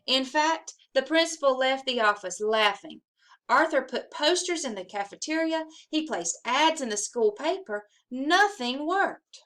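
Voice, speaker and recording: A woman with a fairly high voice reads a picture book to schoolchildren. Her delivery is expressive and animated, with emphasis on the characters' lines. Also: unhurried. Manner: animated, acts out dialogue with emphasis. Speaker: assistant principal reading aloud to schoolchildren. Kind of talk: expressive children's storybook read-aloud.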